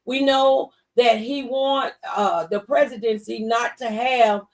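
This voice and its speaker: A woman's voice: fairly high pitch, steady tone, takes it slowly, far from the mic, rough recording. A mature woman in a faith-based conversation, speaking earnestly and a little like a preacher, repeating phrases for emphasis. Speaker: talk show guest.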